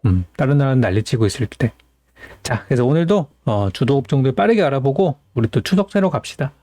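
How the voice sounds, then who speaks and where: A man's voice: mid-range voice, expressive, brisk, warm tone, faint music behind. A man hosting as a stock market analyst, explaining things in an informal, conversational commentary style.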